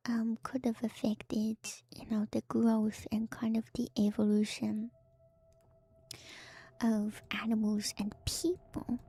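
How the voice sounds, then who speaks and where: A woman giving whispered ASMR commentary as a streamer, in a soft, breathy, hushed and musing way, close to the microphone. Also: fairly high pitch, dry recording. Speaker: streamer, host of a lore breakdown.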